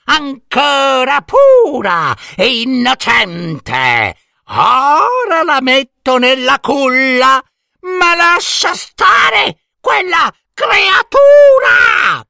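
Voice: high voice, huge pitch swings, very slow, gravelly, sharp tone, dry recording, raised voice, studio quality, voice pushed up, exaggerated voice. A performer voicing a desperate, shrieking witch in a storybook tale, imploring with long, wailing cries. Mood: tearful begging. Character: desperate shrieking witch pleading to save a demon creature.

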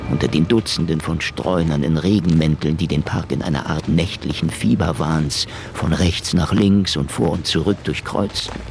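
Low-voiced wry private detective. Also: male voice, moderate pace, gravelly, noisy background. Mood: wry narration. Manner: deadpan, dryly ironic, hesitant in places. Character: wry, laconic male private detective, hard-boiled snooper. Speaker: actor in a radio play, narrator and lead. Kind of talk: hard-boiled radio drama acting with first-person narration.

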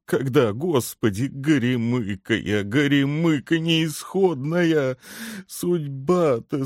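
A man's voice: medium pitch, a little mellow, dry recording, clean studio sound, pitched above the performer's own voice, lightly acted. A man voicing a frightened, self-pitying, hard-drinking shoemaker in a storybook, pleading and rambling in florid complaint. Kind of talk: dramatic literary audiobook character reading.

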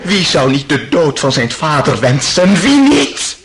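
A man's voice: fairly high pitch, big pitch swings, a little slow, a bit bright, exaggerated voice. An actor playing a bitter, tormented intellectual man in a radio play, sardonic and theatrical, brooding and given to outbursts. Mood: anguished, agitated. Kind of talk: dramatic radio play acting. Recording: noisy old radio play recording.

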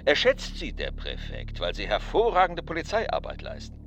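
An actor playing a smooth, evasive, calculating male mayor in a radio crime drama, condescending and insinuating. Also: on the high side, huge pitch swings, moderate pace, background noise, full character voice.

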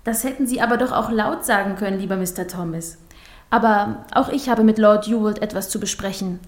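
A woman's voice: mid-range voice, a little fast, lightly acted. A woman playing a vain, demanding young lady in a German audio drama, with a formal, pert manner. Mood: pert, insistent.

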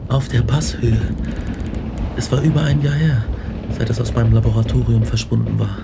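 Ein Schauspieler spielt in einem Hörspiel einen gequälten, reumütigen jungen Wissenschaftler, der ernst und grüblerisch in literarischer Sprache erzählt. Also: male voice, mid-range voice, steady tone, normal speed, gravelly, very noisy, lightly acted. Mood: haunted, grave. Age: young adult. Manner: brooding, literary, earnest. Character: haunted, remorseful young male scientist. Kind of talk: radio drama narration and dialogue.

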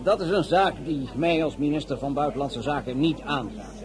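An actor playing a diplomatic foreign minister in a radio drama, speaking in a formal, measured manner. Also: male voice, fairly high pitch, lively intonation, crowd noise, close to an everyday voice.

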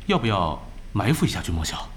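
An actor playing an excitable young male gamer, a deferential team subordinate in an audio drama. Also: fairly low pitch, fast. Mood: dutiful. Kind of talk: Chinese audio drama acting.

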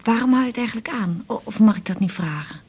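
A woman's voice: medium pitch, expressive, quick pace, darkish tone, husky. An actress playing an anxious, proud middle-aged widowed mother with a Netherlands Dutch accent in a radio drama, speaking hesitantly and plaintively. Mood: eager, motherly. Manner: hesitant, repeats words, plaintive. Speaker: supporting actress in radio serial. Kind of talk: 1980s radio drama dialogue.

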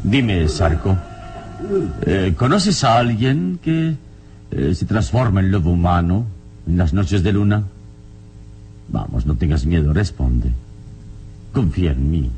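An actor playing a calm, courteous and confident adventurer hero in a radio drama, with a measured, persuasive way of talking. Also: male voice, low-pitched, exaggerated voice. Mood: persuasive, probing. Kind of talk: old radio drama acting. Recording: noisy old radio serial recording.

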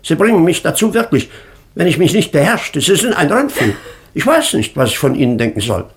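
An actor playing a pompous, easily outraged baron in a German radio play, blustering and exasperated. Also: male voice, a little high, big pitch swings, brisk, slightly rough, exaggerated voice.

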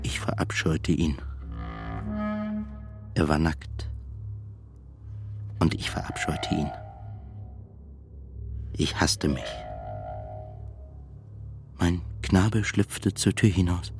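An actor playing a tormented, melancholy man in a radio play monologue, brooding and deliberate, at times nearly whispering. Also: deep voice, music in the background, exaggerated voice. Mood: disgusted, self-loathing. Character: tormented, melancholy man confessing desire and self-loathing. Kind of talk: literary first-person monologue in radio play.

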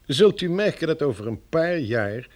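An actor in a Dutch-language radio play as a courteous, firm envoy of a pioneer community, speaking in a measured, formal, persuasive way. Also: male voice, on the high side, sing-song. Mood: firm, diplomatic.

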